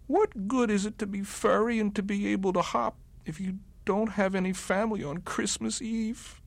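A man voicing a tiny, lonely young male bunny who talks in a high, plaintive, sobbing voice. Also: steady tone, normal speed, vocal fry, no room sound. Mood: tearful.

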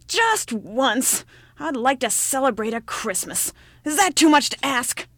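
A woman voicing a lonely, grumpy old woman who is a little deaf and shouts crotchety questions. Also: high-pitched, big pitch swings, unhurried, dry recording, pitched above the performer's own voice, heavily acted. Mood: plaintive.

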